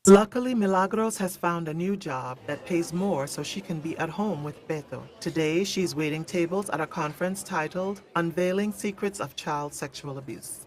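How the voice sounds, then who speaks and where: A woman playing a composed, caring narrator of a radio drama, explaining in a measured way. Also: on the low side, darkish tone, close to an everyday voice, low-quality recording. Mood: composed, concerned.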